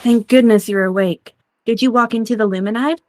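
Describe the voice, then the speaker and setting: A woman playing a kind, knowledgeable crewmate in a drama, explaining things in a gentle manner. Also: on the high side, fairly quick, close to an everyday voice. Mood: caring, explaining. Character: kind, knowledgeable young female crewmate with mystical learning. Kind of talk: dramatic game-script acting.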